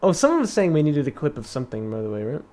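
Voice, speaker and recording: A young man streaming and explaining strategy in a casual, chatty way, thinking aloud with fillers and laughs; his voice is slightly raspy from long talking. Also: a little high, huge pitch swings, racing, mellow. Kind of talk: casual live-stream commentary.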